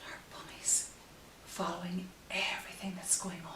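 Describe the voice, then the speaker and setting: A woman reading picture books aloud to young children, gentle and expressive, with drawn-out phrases and hushed asides. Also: on the low side, whispered. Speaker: story time host. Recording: roomy, distant mic with faint hiss.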